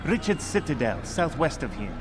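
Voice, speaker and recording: A man playing a composed, courteous informant of an assassin order in a video game, measured, formal and instructive. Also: medium pitch, very animated, over music, close to an everyday voice. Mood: courteous, informative. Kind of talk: video game character acting.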